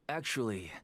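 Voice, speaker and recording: A man's voice: a bit bright, dry recording, heavily acted. A man voicing a careworn merchant father turned smuggler in a video game, protective of his family and resigned. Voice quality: low-pitched. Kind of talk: scripted video game voice acting.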